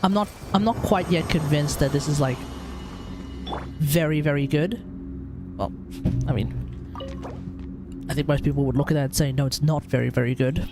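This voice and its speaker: A man's voice: a little high, normal speed, long pauses, over music. A man with an Australian accent hosting a game livestream in casual, rambling, hesitant chat. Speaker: livestream host, gamer.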